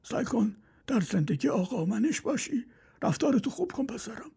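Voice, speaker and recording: A man's voice: very high-pitched, big pitch swings, vocal fry, dark tone, slightly rough, pitched above the performer's own voice, exaggerated voice. Quavering frail old father. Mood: pleading advice. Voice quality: thin, strained, old-sounding. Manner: sighing, preachy, quavering.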